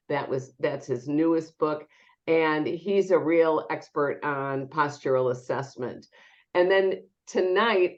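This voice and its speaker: A low-pitched woman with a nasal voice, still getting over an illness, introduces a webinar in a chatty manner full of ums. The sound is a little dull and lo-fi, as on a video call. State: recovering from illness. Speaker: webinar host and company CEO.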